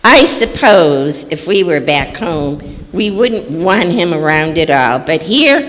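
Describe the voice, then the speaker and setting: Lady playing homey old-time radio mom, real calm and slow, echoey hall. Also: low-pitched, slight background noise, exaggerated voice. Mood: placid, chiding. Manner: unflappable, folksy. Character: placid, homely mother of an American family. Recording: echoey hall stage recording.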